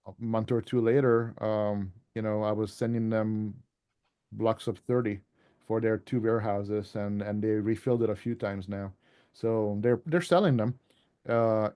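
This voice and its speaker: A man's voice: medium pitch, dark tone. A man giving an informal presentation to a club as an author, talking in a conversational, rambling way with asides.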